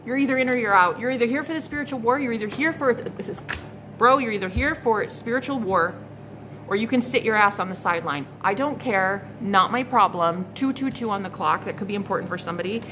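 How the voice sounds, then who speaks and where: A woman's voice: mid-range voice, brisk. A woman in her thirties doing a casual tarot reading to camera, blunt and exasperated, swearing freely and repeating herself. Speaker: psychic tarot reader. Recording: noticeable background noise.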